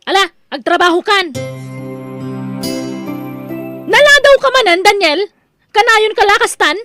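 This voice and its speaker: A woman's voice: very high-pitched, expressive, a little slow, pushed and bright, faint music behind, exaggerated voice. A woman playing a strict, haughty young HR officer in an Ilocano audio drama, speaking in a stern, scolding way. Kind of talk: Ilocano radio drama acting.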